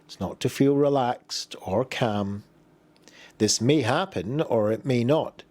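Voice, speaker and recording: Man guiding a slow body scan meditation. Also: mid-range voice. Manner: gentle, unhurried instruction with pauses. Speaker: meditation guide.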